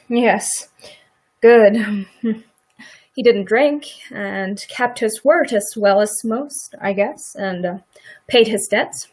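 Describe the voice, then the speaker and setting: A woman's voice: on the high side, very slow, a little mellow. A woman playing a rueful, blunt farmer's wife with folksy rural speech in a live radio play. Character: farmer's wife Mrs. Hale, regretful, blunt, protective neighbour.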